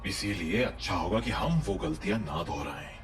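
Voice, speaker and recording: An actor playing a stern, protective father and village leader, speaking gravely and with authority in a horror audio drama. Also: low-pitched, lively intonation, normal speed, far from the mic, faint music behind. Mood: grave, warning. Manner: commanding, grave.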